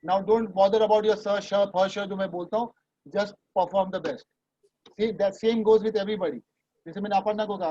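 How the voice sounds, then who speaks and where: A man's voice: high voice, mellow, no echo. A man teaching a voice class over a video call, talking casually and reassuringly, mixing Hindi and English. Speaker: voice and dubbing trainer. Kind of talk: online class coaching. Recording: dull, lo-fi video call audio.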